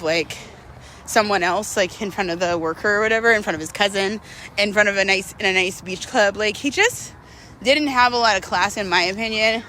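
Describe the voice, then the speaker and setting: A woman's voice: medium pitch, bright, forceful tone, slight background noise. A woman telling a personal story in a casual, slangy, conversational vlog style, peppered with "like" and acting out bits of dialogue. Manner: conversational, slangy, filler-heavy "like". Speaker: storyteller vlogger.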